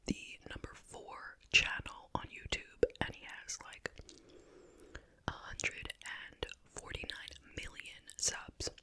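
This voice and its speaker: An ASMR host speaking entirely in a breathy, unvoiced whisper, chatting casually and conversationally with little asides. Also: sharp tone. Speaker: ASMR video creator and host. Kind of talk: whispered ASMR chit chat.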